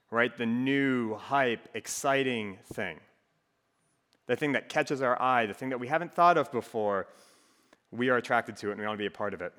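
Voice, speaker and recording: A young man from Minnesota with a faint Minnesotan accent, giving a relaxed, joking talk to a student group. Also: medium pitch, long reverb tail. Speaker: campus ministry speaker. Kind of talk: casual sermon to a student group.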